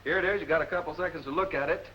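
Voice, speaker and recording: Muffled, noisy vintage game show host. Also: male voice, fairly high pitch, very fast. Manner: joking, teasing. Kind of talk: live game show hosting, casual banter. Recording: noisy muffled 1950s television soundtrack.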